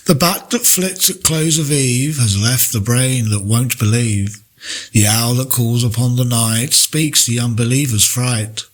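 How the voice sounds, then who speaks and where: A man reading poetry aloud in a deliberate, measured way with pauses between phrases. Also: medium pitch. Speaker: poetry reader. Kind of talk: poetry recitation, read aloud.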